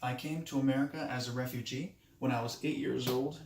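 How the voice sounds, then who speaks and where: A man in his late twenties, from Moldova with Romanian as his first language, giving a halting self-introduction speech for a class, with many restarts. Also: medium pitch, fairly even intonation, a little mellow, roomy sound, slight background noise. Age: 20s. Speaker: student.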